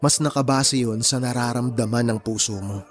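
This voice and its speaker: Kind young male friend, played by an actor, mid-range voice, gentle, earnest advice-giving, romantic radio drama. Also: medium pitch, fairly even intonation, moderate pace, soft background music, lightly acted.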